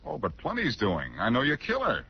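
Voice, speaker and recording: An actor playing a cool-headed, sardonic amateur sleuth in a 1940s radio crime drama. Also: male voice, medium pitch, wildly swooping pitch, normal speed, slight background noise. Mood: teasing. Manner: sardonic, needling. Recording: dull restored 1940s radio recording.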